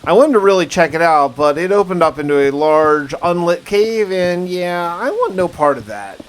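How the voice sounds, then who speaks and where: A man's voice: high-pitched, expressive, normal speed, clean tone. A man hosting a gaming video, giving casual first-person commentary with folksy exclamations and mild slang.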